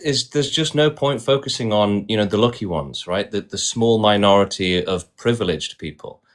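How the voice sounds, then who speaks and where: A man's voice: lowish voice, normal speed. A man hosting a debate stream, arguing insistently and often tagging his points with "right?". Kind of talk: argumentative debate.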